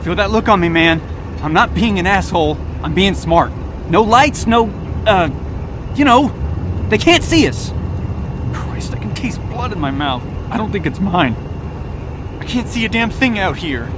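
An actor playing a jittery, foul-mouthed young stoner driver in a video game, agitated and defensive in slangy speech. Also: male voice, high-pitched, animated, normal speed, long pauses. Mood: defensive, edgy. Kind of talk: naturalistic video game voice acting. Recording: car engine noise behind. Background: car and outdoor ambience.

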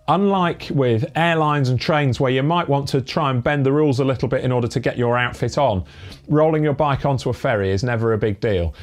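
A young British man speaks straight to camera in a brick-walled room, his lapel mic close and clean. He explains things briskly and earnestly, dropping the odd dry aside, at a middle pitch, with faint music running underneath. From Britain. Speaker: family cycle touring vlogger. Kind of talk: talking-to-camera tips. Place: indoor room with brick wall and bikes.

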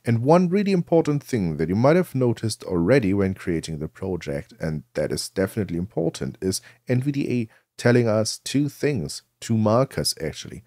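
A man presenting a software tutorial, explaining things step by step into a close microphone. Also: fairly low pitch, very animated, moderate pace, hardly any pauses, no room sound.

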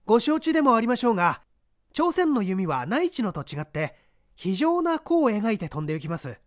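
A man's voice: high voice, sing-song, brisk, no room sound, studio quality, pitched above the performer's own voice. A man voicing an earnest unemployed young man who argues his case with eager intensity in a mystery reading. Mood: confident.

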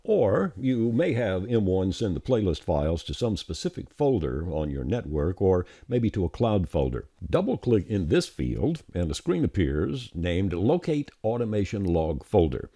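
Low, lively, slightly husky male tutorial narrator. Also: normal speed, hardly any pauses, dry recording, studio quality. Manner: plain, step-by-step explaining. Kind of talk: scripted instructional screencast narration.

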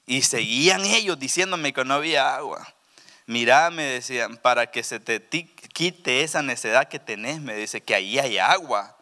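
A middle-aged man gives a testimony from a church stage, speaking into a handheld microphone. His voice is bright and forceful. He swings from soft, low asides to loud, emphatic declarations. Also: moderate pace. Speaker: pastor. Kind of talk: testimony sermon. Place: church stage with purple lighting.

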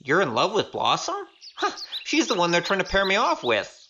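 Casting: quick-witted young swordsman as acted for radio play, wry and persuasive, very animated delivery, faint noise behind. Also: medium pitch, lo-fi sound. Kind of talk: audio drama acting.

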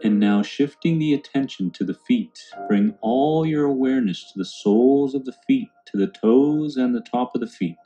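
A man calmly guiding a meditation in a soothing, unhurried way. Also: mid-range voice, creaky, highs cut off. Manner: calm, soothing, unhurried instruction. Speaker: meditation guide. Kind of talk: guided meditation narration. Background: background music.

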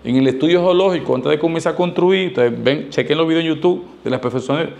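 Emphatic higher-voiced man explaining. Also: fast, creaky, a little mellow. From Dominican Republic. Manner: emphatic, didactic, with rhetorical questions and repetition. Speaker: architect giving advice. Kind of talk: explanatory talk to camera. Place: small indoor studio, seated. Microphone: lavalier clipped to shirt.

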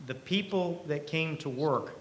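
A middle-aged man giving a conversational keynote speech at a podium microphone, earnest and emphatic, with deliberate pauses. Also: a little high. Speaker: company president, keynote speaker. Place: event hall stage. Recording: live podium speech with clear background noise.

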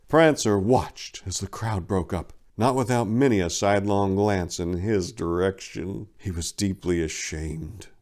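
A man in his forties giving a theatrical, mock-earnest dramatic reading of a comic romance story, recorded indoors on a lapel mic. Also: lowish voice. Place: indoors, book-lined room.

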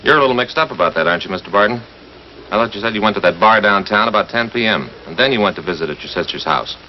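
A man's voice: lowish voice, expressive, very fast, close to an everyday voice. A man playing a middle-aged, probing, skeptical police detective in a 1950s television crime drama, matter-of-fact and pressing. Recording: dull 1950s TV soundtrack, faint noise.

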